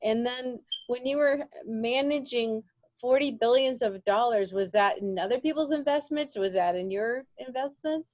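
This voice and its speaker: A woman's voice: on the high side, moderate pace, a little mellow, no echo. A woman hosting an interview over a remote call, effusive and affirming, with many fillers. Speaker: podcast host. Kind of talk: interview conversation.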